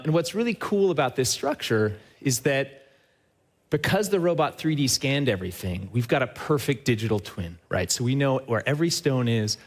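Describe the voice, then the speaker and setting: An enthusiastic man giving a live presentation, his pitch sitting at an ordinary middle level for a man. He speaks straight to the crowd in front of him and keeps checking in with a quick tag question as he explains. The delivery stays animated and conversational rather than scripted. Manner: addresses the audience directly. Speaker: company CEO giving a stage talk. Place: trade show stage before a live audience.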